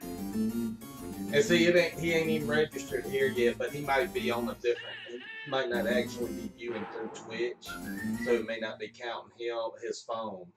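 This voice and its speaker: A man's voice: medium pitch, fairly even intonation, moderate pace. Distant, roomy-sounding man hosting a casual stream. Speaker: live stream host. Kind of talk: casual pre-show chat. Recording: roomy, distant mic, music behind.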